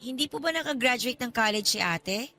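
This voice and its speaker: A woman's voice: a little high, animated, normal speed, bright, forceful tone. An actress playing a polite, guarded young female college student in a radio drama, speaking with hesitant reserve. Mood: curious. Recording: music under the voice.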